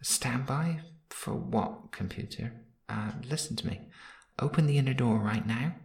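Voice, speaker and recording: An actor playing a stranded spaceship technician, wry and defiant, informal and sweary, in a sci-fi audio drama. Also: male voice, mid-range voice, unhurried, vocal fry, a little mellow, reverberant. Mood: alarmed.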